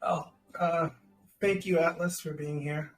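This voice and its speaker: A man's voice: on the high side, steady tone, measured pace, faint music behind, rough recording. A man hosting a live audio chat, upbeat and joking with the audience. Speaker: host.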